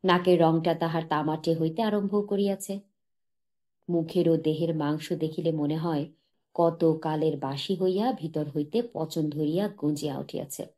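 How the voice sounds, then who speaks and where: A woman narrating a literary short story as an audiobook, in a measured, dramatic reading manner. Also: fairly low pitch, warm tone.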